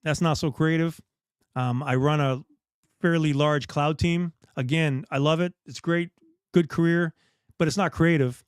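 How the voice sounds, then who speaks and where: Middle-aged man, candid, rambling host, a bit high in pitch, dry close recording. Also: steady tone, a little slow, clean tone, dry recording. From Bahamas. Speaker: dive shop host. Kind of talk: casual live chat.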